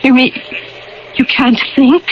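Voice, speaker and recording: Earnest wife on muffled old radio. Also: female voice, high-pitched, steady tone, slow, noisy background. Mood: worried, insistent. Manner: earnest, urgent, repeating herself. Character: devoted, anxious wife of an older man, narrating her story. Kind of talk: radio drama acting and first-person narration.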